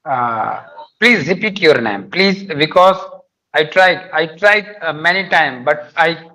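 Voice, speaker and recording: A man's voice: a little high, lively intonation, slow, pushed and bright, poor audio. A man with an Indian accent practicing conversational English, speaking hesitantly and repeating his words as he goes. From Madhya Pradesh, India. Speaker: guest, police officer learning English.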